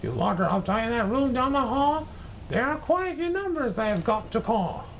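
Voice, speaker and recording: A learned, self-important school principal as a male storyteller puts him on from a children's storybook. He declaims with wide swings of pitch over faint noise. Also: sing-song, moderate pace, exaggerated voice, low-quality recording. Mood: alarmed. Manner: declamatory, pompous.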